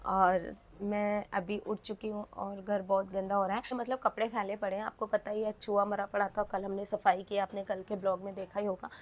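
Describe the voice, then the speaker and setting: A woman's voice: mid-range voice, little pitch movement, normal speed, hardly any pauses. A woman talking casually and chattily to her viewers as she vlogs her daily home routine. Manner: chatty, addresses viewers as friends and family.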